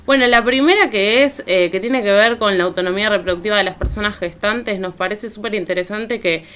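A woman's voice: medium pitch, a little fast, fairly bright tone, slight background noise. A woman with an Argentine accent, speaking as a columnist in a radio discussion, reading out and commenting. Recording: music playing behind the voice. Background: music.

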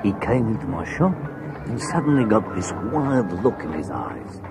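An actor playing a shaken antique dealer giving a witness account in an audio drama. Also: male voice, lowish voice, huge pitch swings, normal speed, loud music behind the voice. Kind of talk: read-along audio drama acting.

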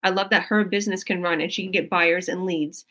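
A woman's voice: on the low side, fairly even intonation, a little fast, a bit bright. A woman, a coach hosting a team video call in a small room at home, talking in a rambling, effusive way with many restarts. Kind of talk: casual motivational chat. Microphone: video call webcam.

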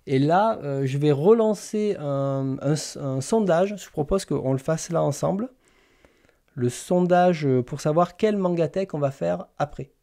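Creaky-voiced man chatting on a live stream. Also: fairly high pitch, unhurried, dark tone. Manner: hesitant, thinking aloud while typing. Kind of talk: casual live stream chat. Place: small indoor room lined with bookshelves.

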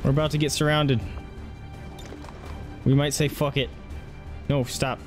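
A man's voice: medium pitch, flat delivery, unhurried. A man doing casual live gameplay commentary, reacting and swearing as he talks to himself and his viewers. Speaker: game streamer. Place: indoors, streaming setup at a computer. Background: game music.

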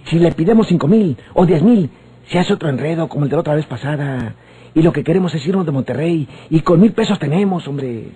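A scheming Mexican small-time crook in an old radionovela. He sounds very raspy and mellow, bossing his partner around. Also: on the high side, lively intonation, a little fast. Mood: bossy. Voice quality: husky, raspy.

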